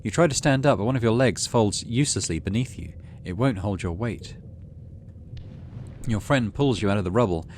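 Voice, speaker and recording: A man with a fairly low voice hosts a video game let's play. He talks himself through the game and reads its text aloud. There is clear noise behind his voice. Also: animated, normal speed, a little mellow. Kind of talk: gameplay commentary and reading game text aloud.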